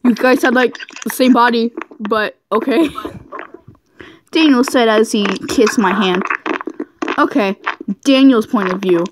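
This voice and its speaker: Higher-voiced woman reading fan fiction, unhurried. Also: background noise. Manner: giggly, often stumbling over the text. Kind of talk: reading fan fiction aloud, goofing around.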